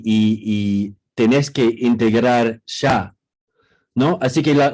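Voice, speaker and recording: A man talks over a video call, stopping and restarting often as he gathers his thoughts. His voice is mellow and relaxed, with a middling pitch. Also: low-quality recording. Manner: hesitant, many restarts and fillers. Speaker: co-author speaking at a book presentation. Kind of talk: casual panel talk.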